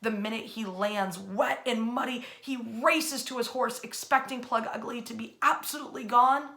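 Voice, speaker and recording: A woman with an American accent tells history like a storyteller. Her voice sits a little high and sounds bright and forceful. She phrases theatrically, leaning on key words and pausing for effect. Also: fairly even intonation. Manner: emphatic, theatrical phrasing with deliberate pauses. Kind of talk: dramatic bedtime-style history storytelling.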